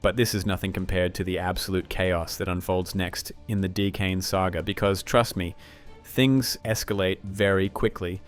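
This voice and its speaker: A man's voice: sits low, steady tone, moderate pace, soft background music. A man narrating a scripted commentary in a dramatic, teasing manner.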